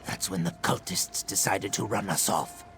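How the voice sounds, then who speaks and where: A man's voice: low-pitched, moderate pace, fairly bright tone, soft background music. A man voicing an anxious lizard-folk refugee in hiding, in fantasy video game dialogue. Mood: worried, pleading.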